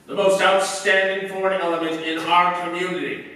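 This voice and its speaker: A man's voice: high-pitched, level tone, fairly quick, sharp tone. A man playing a fanatical, bullying vigilante gang boss in a staged 1940s radio drama, haranguing and commanding. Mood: rabble-rousing. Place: live stage performance in a hall. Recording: echoey hall, far from the mic.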